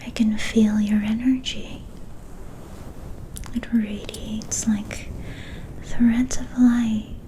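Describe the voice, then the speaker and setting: A woman playing a mysterious, soft-spoken vampire seer in an ASMR fantasy roleplay, whispering in a breathy, ominous and cryptic way. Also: on the high side, flat delivery, slight background noise, poor audio. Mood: mystical, soothing. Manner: slow, deliberate, cryptic.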